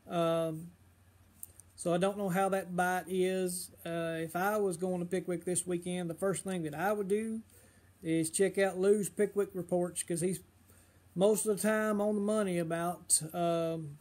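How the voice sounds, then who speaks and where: A middle-aged man, slightly hoarse, chatting casually on a fishing livestream and giving advice with lots of uh and um. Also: sits high, darkish tone. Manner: rambling, full of uh and um fillers.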